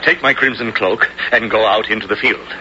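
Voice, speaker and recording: An actor playing a shrewd, loyal adviser to a hero, with urgent theatrical delivery in a radio drama. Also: male voice, lowish voice, a little fast, close to an everyday voice. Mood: urgent counsel. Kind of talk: 1970s radio drama acting. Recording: noisy, dull old radio recording.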